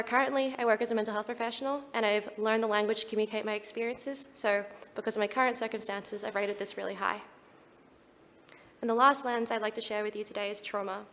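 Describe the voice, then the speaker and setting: A woman's voice: fairly high pitch, a bit bright, big-room sound. A young Australian woman giving an earnest, prepared talk at a conference. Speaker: conference speaker.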